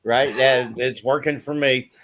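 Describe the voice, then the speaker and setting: A man's voice: mid-range voice, steady tone, unhurried, fairly bright tone. A man calling into an online panel, easygoing and poking fun at himself. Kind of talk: casual chat.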